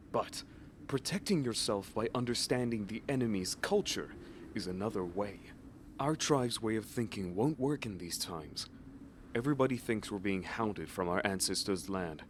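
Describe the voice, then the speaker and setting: A man's voice: on the low side, lightly acted. A man voicing an independent-minded young tribesman, an earnest younger brother, in a manga dub. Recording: clear background noise.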